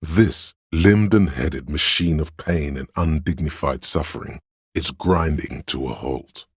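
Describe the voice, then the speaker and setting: A man's voice: a little slow, dry recording, studio quality, exaggerated voice. A man voicing a grandiose, rapturous inner voice of artistic imagination in a video game, with theatrical, savouring delivery of poetic lines. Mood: brooding, poetic. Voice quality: very deep, gravelly, husky. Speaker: game voice actor. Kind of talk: dramatic literary game narration.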